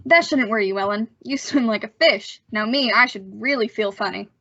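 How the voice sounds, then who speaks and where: A woman's voice: on the high side, brisk, fairly bright tone. A woman playing a nervous, evasive young man with a hesitant manner in an old-time radio suspense drama. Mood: uneasy, casual. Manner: hesitant, stammering under pressure. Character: nervous young man, evasive impostor telling his story. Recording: dull, lo-fi audio.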